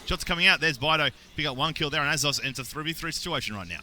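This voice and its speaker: A young adult man with an Australian accent doing play-by-play esports commentary, getting excited on big plays. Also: on the high side, rapid-fire. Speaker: esports caster.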